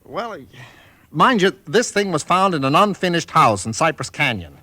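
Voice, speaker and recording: An actor playing a nervous real estate agent in a 1940s radio drama, hesitant and stammering. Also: male voice, on the high side, big pitch swings, normal speed, pushed and bright, no room sound, exaggerated voice. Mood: worried.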